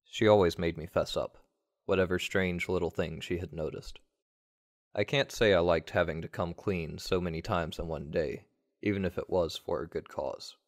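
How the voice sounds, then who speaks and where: A man with a deep voice tells a story in the first person. His delivery is scripted and wry, like a storyteller reading his own tale. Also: steady tone, moderate pace. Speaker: story narrator.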